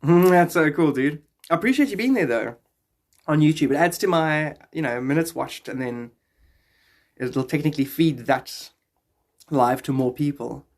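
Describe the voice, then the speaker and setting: A man in his thirties with a South African accent, chatting casually and playfully in slang as he hosts a live stream, close to a phone in a small room. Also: fairly high pitch. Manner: playful, slangy, greeting viewers in drawn-out exclamations. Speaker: live streamer and podcast host.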